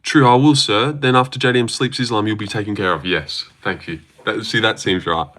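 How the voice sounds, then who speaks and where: Aussie guy on a livestream, kinda low voice, rambly and jokey, lots of ums. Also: brisk, fairly bright tone. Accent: Australian. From Australia. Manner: rambling and joking, with frequent fillers and restarts. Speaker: livestream host. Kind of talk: casual livestream chat, reading out viewer comments.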